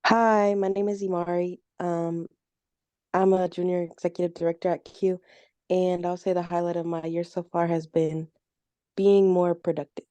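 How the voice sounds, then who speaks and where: A woman speaks briefly and matter-of-factly on a video call. Her voice is mellow, smooth and fairly even, and the audio comes through dry and a little muffled. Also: lowish voice, normal speed, dry recording. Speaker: junior executive director.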